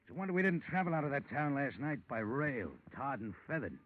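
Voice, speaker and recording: An actor playing a gruff, blunt carnival manager and strong-arm man in a slangy 1940s radio drama style. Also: mid-range voice, very animated, a little fast, a little hiss or hum, lightly acted. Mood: defensive. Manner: blunt, slangy tough-guy talk. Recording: tinny, band-limited 1940s radio recording.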